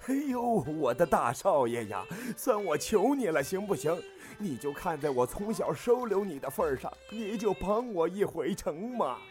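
Brief: male actor as wheedling middle-aged theater boss, obsequious, very lively pitch, music behind, period radio drama. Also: normal speed, few pauses. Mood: pleading. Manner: obsequious, pleading, exclamatory. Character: fawning older male opera troupe boss, wheedling and eager.

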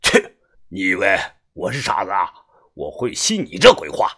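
A man's voice: low-pitched, wildly swooping pitch, moderate pace, fairly bright tone, slightly rough, voice pushed down, exaggerated voice. A man voicing a short-tempered older man guarding a field, who barks blunt, scornful lines. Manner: barking, blunt.

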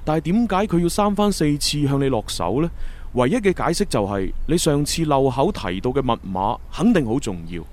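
An actor playing an earnest, analytical young time agent in a Cantonese sci-fi radio drama, with a Hong Kong accent. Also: male voice, mid-range voice, expressive, a little fast, darkish tone, natural voice. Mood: probing, earnest.

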